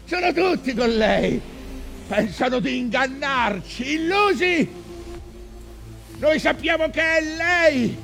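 An obsessed old whaling captain declaims his vengeance in theatrical monologue. His voice sits high with a gravelly creak, and he speaks slowly, in a dramatic stage manner. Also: animated, a bit bright, faint music behind, full character voice. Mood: commanding, frenzied. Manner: dramatic, declamatory, swinging from murmurs to cries.

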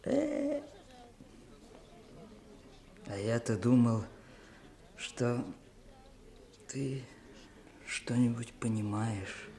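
Earnest, slow-spoken pleading young man. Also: mid-range voice, wildly swooping pitch, dark tone, full character voice. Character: eager, pleading young troublemaker named Tammy. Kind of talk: 1960s radio play acting.

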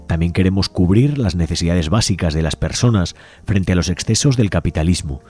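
A man presenting a community radio show, reading out a manifesto and describing a project in a measured, slightly halting way. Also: low voice, animated, over loud music. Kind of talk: community radio presentation, partly read aloud.

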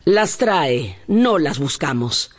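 Worried scolding mom, radio soap style, husky, very up and down, emotional. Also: female voice, low voice, very animated, takes it slowly, exaggerated voice. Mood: reproachful. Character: worried, reproachful mother of a village family. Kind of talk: radio drama acting.

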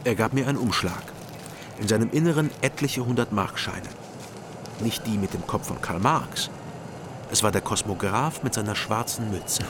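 An actor narrating as a private detective in a calm, measured, wry first-person radio crime style. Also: male voice, fairly low pitch, background noise, close to an everyday voice. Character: sober-minded male private detective narrator.

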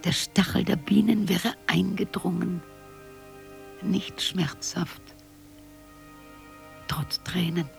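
An actress playing a wistful old woman in a slow, halting, poetic radio monologue with a gravelly, breathy voice. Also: fairly low pitch, fairly even intonation, faint music behind, exaggerated voice. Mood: wistful, brooding. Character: wistful old woman remembering her small town.

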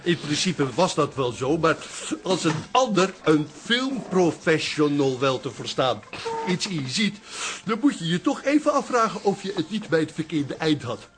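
An actor playing a pompous, pedantic, self-important professor in a Dutch radio drama. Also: male voice, on the high side, big pitch swings, normal speed, fairly bright tone, a little hiss or hum. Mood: smug. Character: pompous, self-important amateur-extra professor, adult man.

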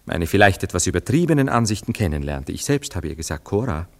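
An actor playing a jealous, wavering lover in a German radio play, speaking in hesitant, elaborate, earnest theatrical phrases. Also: male voice, deep voice, big pitch swings, quick pace, gravelly, a little mellow, full character voice. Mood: earnest, anxious. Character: jealous, wavering, anxious lover, given to brooding doubts. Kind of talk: German radio play acting of a stage comedy.

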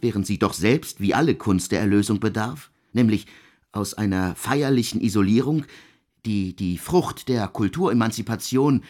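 An actor playing a proud, intellectual German composer in a radio drama, speaking in earnest, eloquent, deliberate phrases. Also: male voice, medium pitch, a little mellow. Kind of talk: literary radio drama dialogue.

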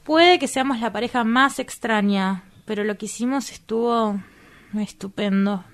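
A woman's voice: on the high side, normal speed, lightly acted. A woman with an Argentine accent playing a coy, earnest young woman in love in a radio drama. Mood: tender, coy.

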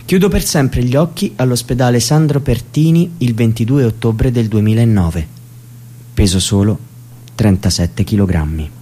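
An actor playing a young man who died in custody, recounting his own death in a grave, measured radio drama monologue. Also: medium pitch, warm tone, a little hiss or hum, natural voice. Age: young adult. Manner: grave, measured first-person testimony. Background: music.

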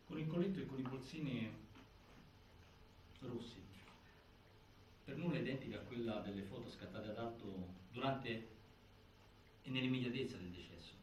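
A man performing as a solemn theatre narrator, reciting a case file in formal, deliberate, declamatory phrases. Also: medium pitch, close to an everyday voice. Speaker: narrator in a stage inquiry play. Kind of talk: scripted theatrical documentary narration. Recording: echoey, distant, faint noise.

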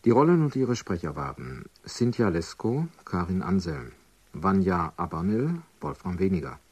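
Deep, husky male radio announcer. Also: sing-song, darkish tone. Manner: formal, measured. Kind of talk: scripted closing credits announcement.

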